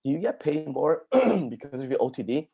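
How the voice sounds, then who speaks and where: A man's voice: a little high, big pitch swings, moderate pace, vocal fry, slightly rough, muffled recording. A man from Brazil, an occupational therapist, chatting casually on a video call to answer student questions, with lots of "dude" and "like".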